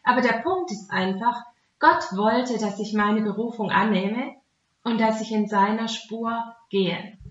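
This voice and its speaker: A woman with a Swabian accent gives an earnest, conversational devotional talk. Her voice sits fairly high. The recording is quite echoey and slightly dull, lacking crispness in the sound. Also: measured pace. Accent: Swabian German. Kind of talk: devotional talk mixing Bible teaching and personal testimony. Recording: roomy echo, dull muffled sound.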